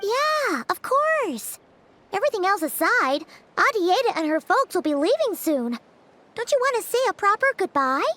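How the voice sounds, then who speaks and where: A woman voicing a tiny, caring, chatty fairy companion in a video game, with a perky, expressive delivery. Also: extremely high pitch, normal speed, exaggerated voice. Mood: gently curious.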